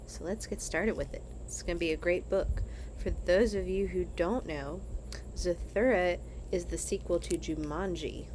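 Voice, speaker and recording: A woman reading a children's story aloud in an animated, expressive way, as in a read-along for kids. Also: fairly low pitch, moderate pace, slight background noise, low-quality recording. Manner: animated, acts out dialogue.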